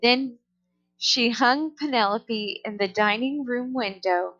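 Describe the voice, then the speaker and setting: A woman reading a children's story aloud in a careful, deliberate way, on a somewhat dull recording. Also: fairly high pitch, a bit bright. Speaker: storybook reader. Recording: dull, lo-fi sound.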